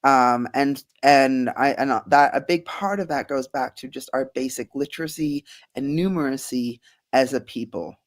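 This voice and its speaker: A woman, a guest scientist, answering interview questions earnestly and thoughtfully, with frequent soft laughs. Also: rumbling low, moderate pace. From Bahamas.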